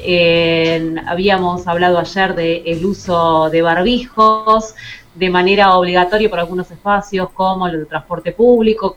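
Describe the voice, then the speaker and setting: A woman with a Buenos Aires Argentine accent, hosting radio and commenting casually on the news as she introduces clips. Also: fairly low pitch. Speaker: radio host.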